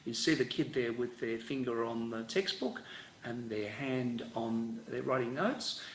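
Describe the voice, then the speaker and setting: A man's voice: mid-range voice, a little slow, reverberant, a little hiss or hum, rough recording. Australian man giving a rambling lecture. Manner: relaxed, rambling lecture with many fillers and restarts. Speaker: education professor. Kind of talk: online conference presentation.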